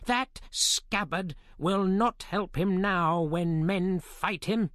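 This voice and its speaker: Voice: medium pitch, takes it slowly, a bit bright, no room sound, studio quality, pitched above the performer's own voice. A narrator voicing a wicked sorceress queen who speaks with spiteful defiance. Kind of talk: audiobook character voice.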